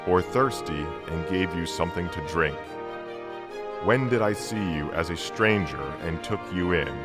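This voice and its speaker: Very deep, solemn old wise man played by a man. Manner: solemn, reflective delivery. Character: old dying wise man, humble, devout, earnest. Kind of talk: dramatic audio story acting. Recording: music behind the voice.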